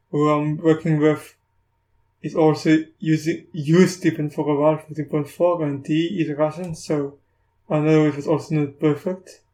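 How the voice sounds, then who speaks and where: A South African man whose first language is Afrikaans, casually talking through a screen recording of software, often stopping and restarting. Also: a little high. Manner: halting, restarts often, thinks aloud. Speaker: tech YouTuber.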